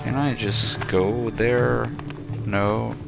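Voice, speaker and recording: A man's voice: medium pitch, steady tone, extremely slow, creaky. A man giving casual live commentary as he plays a video game, muttering short reactions to himself. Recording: game music behind the voice. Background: video game music.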